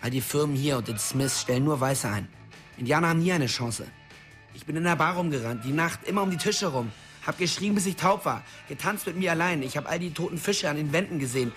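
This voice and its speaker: A man's voice: a little high, lively intonation, brisk, a bit bright, faint music behind. An actor playing a frustrated, bitter, restless young man in a radio drama. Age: young adult.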